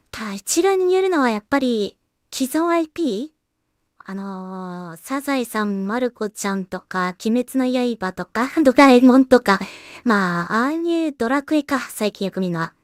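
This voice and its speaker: Higher-pitched woman musing aloud as she works. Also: no room sound, clean studio sound. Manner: unhurried musing with trailing, self-directed remarks. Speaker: solo animation creator and streamer. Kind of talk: casual work-along commentary, thinking aloud.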